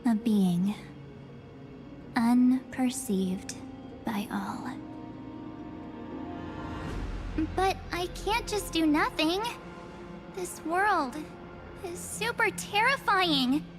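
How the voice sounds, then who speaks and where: An enigmatic young female spirit from a video game. She speaks slowly in a fairly high, breathy voice, coaxing and teasing with quiet menace. Music plays softly underneath. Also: sing-song, full character voice. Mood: grave, eerie. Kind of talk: scripted video game dialogue.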